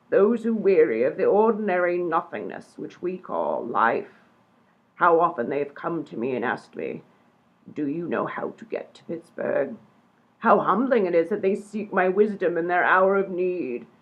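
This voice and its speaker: A woman playing a pompous, mystical psychic in a comic radio play, delivering theatrical, portentous pronouncements. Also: on the low side. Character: grandiose mystical female psychic, solemn and pompous. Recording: dull, lo-fi sound.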